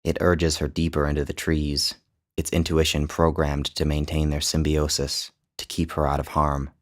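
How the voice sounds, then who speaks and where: A man narrating his own literary short story in a measured, descriptive storytelling manner. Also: a bass voice, completely flat delivery. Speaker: author and narrator.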